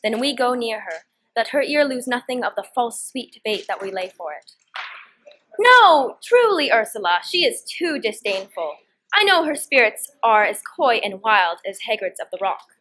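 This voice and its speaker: A woman's voice: on the high side, very animated, normal speed, a bit bright. A teenage girl playing a scheming young noblewoman in a student performance of Shakespeare, with a declamatory delivery. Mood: conspiratorial. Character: Hero, scheming young noblewoman feigning disdain for her cousin.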